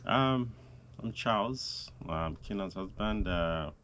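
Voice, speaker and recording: A man speaks slowly in a very low voice. He hesitates often with fillers. A faint hiss sits under the recording. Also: animated, a bit bright. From Zambia. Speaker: guest, host's husband. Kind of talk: casual conversation.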